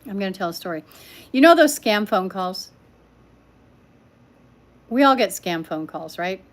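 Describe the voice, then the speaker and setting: A woman's voice: mid-range voice, animated, unhurried. An older woman telling stories at home on a livestream, chatting with viewers and laughing often. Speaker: livestream storyteller. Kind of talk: casual livestream chat. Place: home living room.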